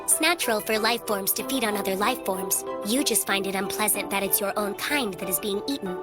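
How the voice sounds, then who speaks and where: A woman's voice: mid-range voice, fairly quick, close to an everyday voice. A woman playing an intelligent, emotionless parasite creature in an anime dub, speaking formally and precisely. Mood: cold, matter-of-fact. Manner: formal, precise, detached, clinical speech. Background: music.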